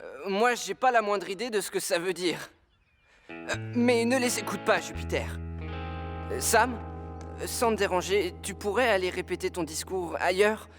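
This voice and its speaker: A man's voice: high-pitched, normal speed. Young cartoon fireman: fretful, sweet-natured, stumbling apologies, music behind. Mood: worried, protective. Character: soft-hearted, clumsy young male firefighter, anxiously apologetic. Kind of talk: French-language cartoon dub acting.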